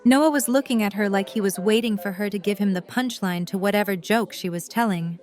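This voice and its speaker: A woman's voice: mid-range voice, a little fast, darkish tone, faint music behind. A woman reading scripted third-person story narration for an audio drama.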